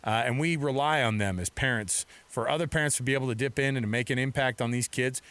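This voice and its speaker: A man's voice: medium pitch, quick pace, fairly bright tone. A middle-aged American man hosting sports radio in a casual, chatty, rambling way from a studio mic. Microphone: close studio microphone.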